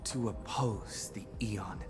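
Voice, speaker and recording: A man playing a grave young hero who narrates with measured solemnity in a video game. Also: lowish voice. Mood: solemn, resolute. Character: solemn young male hero recounting a doomed world's fate. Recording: game music behind the voice.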